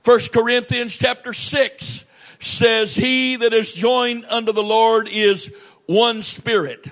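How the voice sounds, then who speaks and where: A male pastor preaching a sermon in a church, reading scripture aloud and then explaining it in a measured, emphatic way with pauses. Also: very high voice, little pitch movement, a bit bright, rough recording.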